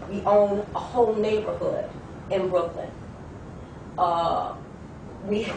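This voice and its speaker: A woman speaks in earnest, piling up details and repeating phrases as she tells her story. She sits far from the mic in an echoey room. There is clear rumbling noise behind her. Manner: earnest, listing, repeats herself. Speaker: discussion participant. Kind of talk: testimony in a debate.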